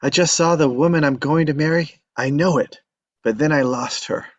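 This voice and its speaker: A man's voice: a little high, moderate pace, dry recording, dull audio, lightly acted. A man reading the part of an earnest, determined young man in love, pleading his case. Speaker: table read actor. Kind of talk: live table read acting.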